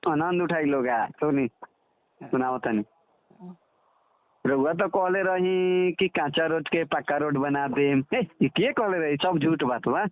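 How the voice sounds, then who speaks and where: A man's voice: a little high, slow pace, pauses often, dry recording. A man with a Bhojpuri accent chatting casually on a recorded phone call as a guest, agreeable and often repeating himself. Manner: eager, agreeable, repeats short affirmations. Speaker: comedian guest.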